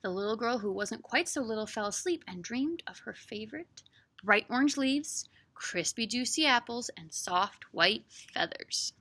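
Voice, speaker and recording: A woman hosting story time as a children's librarian, reading and chatting to young children in an animated, sing-song, encouraging way. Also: on the high side, moderate pace. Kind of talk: expressive read-aloud and chat for young children.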